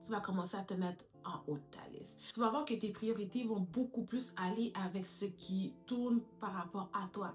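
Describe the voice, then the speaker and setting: A woman, giving advice straight to camera as a lifestyle YouTuber, calling her viewers "girls" and mixing in English words. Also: medium pitch. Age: 30s. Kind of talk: direct-to-camera advice vlog.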